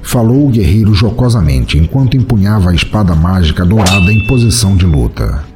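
A man with a Brazilian accent narrates a scripted fantasy tale. His voice is very deep and darkly mellow. Soft music sits underneath the storytelling. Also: lively intonation, a little fast.

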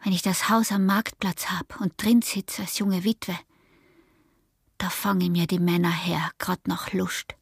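An actress playing a scheming, defiant peasant wife in a radio drama, with an Austrian accent and a taunting, often hushed delivery. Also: female voice, lowish voice, little pitch movement, unhurried, vocal fry, breathy edge, exaggerated voice. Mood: bitter, defiant.

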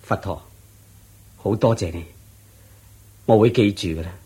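An actor playing a humble, troubled poor layman in a radio drama, husky-voiced, with a deferential, hesitant way of talking. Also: on the low side, measured pace, mellow. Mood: humble, earnest. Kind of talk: Cantonese radio drama acting.

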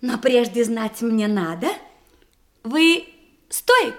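A woman's voice: fairly high pitch, animated, takes it slowly. An actress playing a vivacious, teasing young bookish lady in a radio stage comedy, rattling off long lists. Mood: eager, needling. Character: vivacious learned young lady showing off her erudition.

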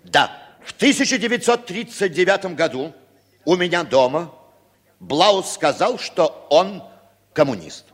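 A man's voice: high-pitched, very animated, measured pace, heavily acted. A man acting a cowardly, hard-drinking playwright in a 1960s Soviet radio drama, theatrical, sarcastic and full of self-contempt. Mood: strained testimony. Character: cowardly, bitter, hard-drinking male playwright turning informer. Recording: reverberant 1960s radio play recording.

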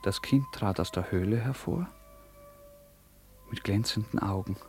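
Slow, gravelly male radio-play narrator. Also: fairly low pitch, darkish tone, faint music behind. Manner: measured, solemn storytelling with formal literary diction. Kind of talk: scripted literary narration for a German radio play.

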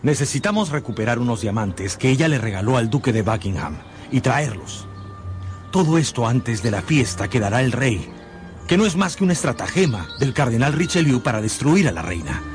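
A man's voice: medium pitch, sing-song, normal speed, soft background music, lightly acted. An actor playing a young swordsman hero in a radio drama, sincere, resolute and respectful in manner. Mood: urgent. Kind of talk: Spanish-language radio drama acting.

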